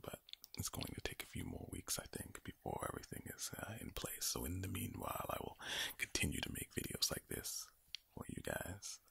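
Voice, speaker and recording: A man doing ASMR affirmations in a low, breathy, villain-like voice, speaking deliberately and soothingly with repeated words. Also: pushed and bright, dry recording. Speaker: ASMR host. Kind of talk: ASMR, whispered slow affirmations.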